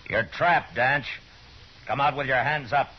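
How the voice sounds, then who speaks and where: A man's voice: medium pitch, fairly even intonation, a little slow, close to an everyday voice. An actor playing a stern, weary Old West lawman in a 1950s radio western, terse, commanding and dry. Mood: hard, commanding. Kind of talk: 1950s radio western acting and first-person narration. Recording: muffled old 1950s radio recording, noisy, music.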